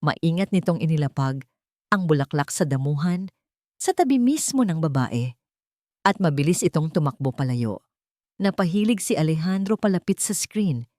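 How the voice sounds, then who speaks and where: A woman's voice: deep voice, expressive, normal speed, a little mellow, no room sound, studio quality. A woman giving a dramatic scripted narration of an audio drama.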